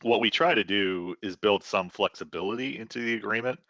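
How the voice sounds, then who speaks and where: A man with a very low yet bright, firm voice gives expert commentary in a panel conversation. He explains carefully and stresses key words. The audio is close, dry and slightly dull. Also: fairly quick, no room sound.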